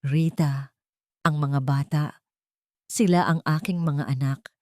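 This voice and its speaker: A woman's voice: low voice, fairly even intonation, takes it slowly, darkish tone, dry recording, clean studio sound. A Filipino-accented woman playing a remorseful father who humbly pleads for his children in an audio drama. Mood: pleading.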